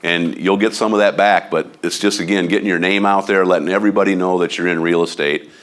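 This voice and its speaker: A deep-voiced man with a Midwestern American accent talks loudly and bluntly. His manner is animated and a bit overbearing, like a seasoned agent coaching colleagues in an office meeting. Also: quick pace. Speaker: real estate agent giving a training talk. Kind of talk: informal training talk to a room of agents.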